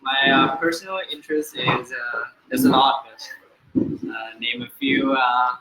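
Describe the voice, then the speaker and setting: A man who works in recruitment, introducing himself casually with many pauses and fillers. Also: mid-range voice, lively intonation, gravelly, bright, forceful tone, faint music behind. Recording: echoey room with background noise.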